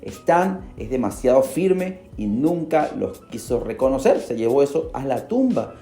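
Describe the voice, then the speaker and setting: A man hosts a podcast and narrates a story in a dramatic, animated way. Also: medium pitch. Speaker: podcast host. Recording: roomy echo. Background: faint music.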